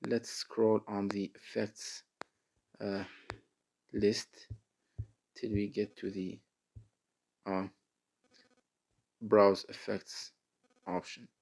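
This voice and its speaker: A man narrating a step-by-step phone app tutorial as its host, in a halting, deliberate way. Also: lowish voice, flat delivery, gravelly, darkish tone, poor audio.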